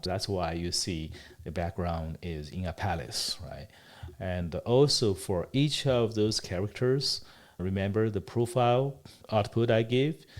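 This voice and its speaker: A man whose first language is Chinese, walking through a software demo in a tutorial, explaining step by step with frequent pauses and self-corrections. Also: low voice. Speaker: developer giving a product demo. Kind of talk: screen-recorded tutorial walkthrough.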